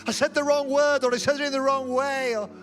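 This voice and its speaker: A man's voice: extremely high pitch, level tone, brisk, a bit bright. A middle-aged man preaching a sermon as senior pastor in a church, telling humorous, self-deprecating stories. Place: church auditorium.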